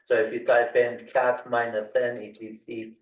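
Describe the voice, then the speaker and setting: A man born in Afghanistan whose first language is Dari, giving a tech tutorial over a screen share, halting with many fillers and restarts. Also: mid-range voice, flat delivery. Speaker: tutorial instructor. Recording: roomy, distant, dull lo-fi mic.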